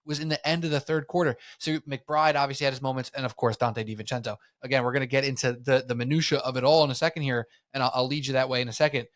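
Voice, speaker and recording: Excited male sports podcast host. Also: mid-range voice, no room sound, studio quality. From United States.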